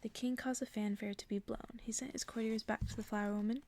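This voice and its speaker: A woman reading a story aloud as ASMR in an intimate, hushed whisper. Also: a little high, steady tone, fast talker. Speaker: ASMR reader.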